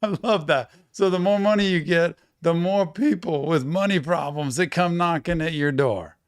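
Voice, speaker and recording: A man hosting a music reaction, slightly hoarse from losing his voice, eagerly repeating lines back and affirming them. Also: fairly high pitch, moderate pace, no echo. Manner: enthusiastic, repeats lyrics back and affirms with yeps. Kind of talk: casual music reaction commentary.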